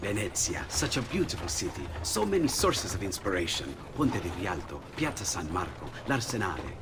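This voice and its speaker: An actor playing an excitable, bashful young inventor and painter with an Italian accent in a game cutscene. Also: male voice, sits low, brisk, noisy background. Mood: warm, relieved. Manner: animated, hesitant, confiding. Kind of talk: video game cutscene acting. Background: music behind the voice.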